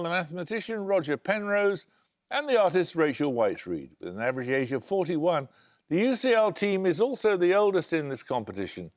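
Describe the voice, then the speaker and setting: A man hosting a television quiz show, reading introductions and questions in a measured, precise way. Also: a little high. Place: television studio.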